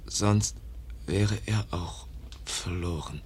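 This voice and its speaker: An actor playing a gentle, dying young foundling in a radio play, weak and exhausted, speaking in halting fragments. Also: male voice, deep voice, slight background noise. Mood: feverish, resigned. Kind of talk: German radio play acting.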